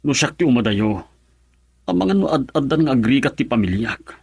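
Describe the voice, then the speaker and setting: Middle-aged Ilocano farmer husband, husky, medium pitch, stubborn, wounded, radio drama. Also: male voice, lively intonation, fairly quick, darkish tone, no room sound. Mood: aggrieved.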